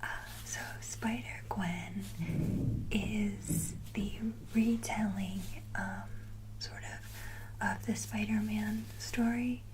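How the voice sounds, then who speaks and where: A woman hosting an ASMR video, speaking casually in a breathy, gentle whisper, in short halting phrases. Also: mid-range voice, fairly even intonation, a little hiss or hum, poor audio. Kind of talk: soft-whispered ASMR, casual show-and-tell.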